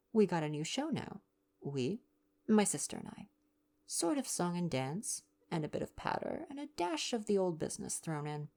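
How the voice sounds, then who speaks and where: A woman's voice: fairly low pitch, gravelly, warm tone, pitched above the performer's own voice, exaggerated voice. A woman voicing a cheeky, slangy young American-born actress and acrobat in a read-aloud novel. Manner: slangy, brash, playful.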